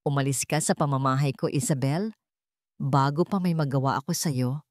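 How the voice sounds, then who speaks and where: A woman's voice: a bass voice, normal speed, darkish tone, dry recording, clean studio sound, voice pushed down. A Filipino woman voicing a protective, hot-tempered man in a story, intense and commanding. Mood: menacing.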